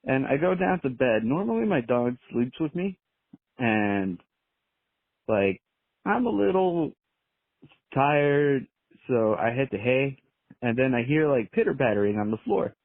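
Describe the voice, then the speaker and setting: A man's voice: mid-range voice, husky, no echo. Male caller, casual rambling storyteller, hesitant, filler-heavy, narrow phone-line audio. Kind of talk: casual personal anecdote. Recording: tinny phone voicemail.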